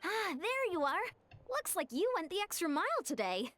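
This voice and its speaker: A woman voicing a brisk, friendly young patrol leader in a video game, polite and businesslike. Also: very high voice, big pitch swings, a bit bright, full character voice. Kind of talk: game voice acting.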